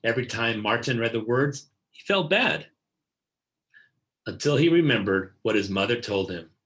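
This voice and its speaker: A man reads a picture book aloud for children in a medium-pitched voice. His delivery is deliberate and scripted, with key words given clear emphasis. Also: lively intonation, a bit bright. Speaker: read-aloud narrator.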